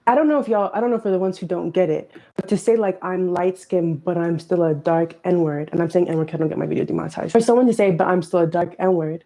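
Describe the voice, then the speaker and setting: A woman giving earnest, emphatic social commentary in a video clip, talking in quick bursts. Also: fairly low pitch, mellow.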